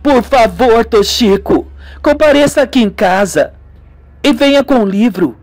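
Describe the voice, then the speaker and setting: A young woman of a radio melodrama, played by a man, eager and scheming. The pitch is high and very lively, the manner emphatic and coaxing. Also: unhurried, no room sound.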